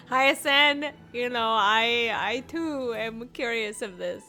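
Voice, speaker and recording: A woman's voice: on the high side, takes it slowly, bright, forceful tone, faint music behind. A woman streamer reacting to a game, giggly and teasing, laughing as she reads along. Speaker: streamer and voice actor. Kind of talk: casual gameplay commentary.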